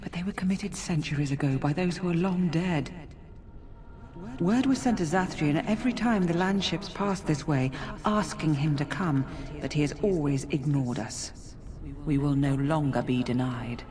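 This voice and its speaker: A woman's voice: deep voice, normal speed, natural voice. A woman acting as a solemn, regal forest spirit who guards beasts in a fantasy game, speaking in a stately, firm manner. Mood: grave, resolute. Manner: stately, pleading yet firm.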